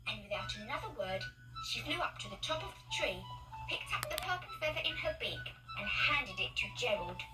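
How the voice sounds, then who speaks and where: A woman narrating children's stories as a read-along, expressive, emphatic and playful. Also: fairly high pitch, moderate pace. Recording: distant-sounding, loud music behind. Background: music.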